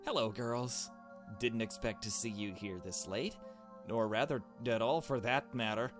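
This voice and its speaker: A man voicing a polite, smoothly genial male murder suspect from a visual novel, courteous and feigning innocence. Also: mid-range voice, measured pace, music in the background, natural voice.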